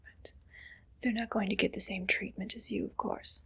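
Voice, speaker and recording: A woman voicing a haughty, cruel evil queen in an ASMR roleplay, whispering softly and condescendingly. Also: mid-range voice, level tone, exaggerated voice. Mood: condescending, menacing. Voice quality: breathy whisper. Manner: slow, condescending, possessive.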